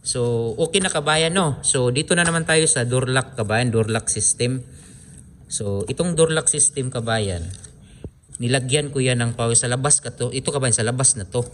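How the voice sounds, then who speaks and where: Casting: Filipino man, mid-pitched, informal how-to presenter, repetitive step-by-step delivery, some noise behind him. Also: moderate pace. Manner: repetitive, step-by-step explaining with frequent pointing phrases. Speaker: DIY electronics tutorial host. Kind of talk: informal hands-on wiring demonstration.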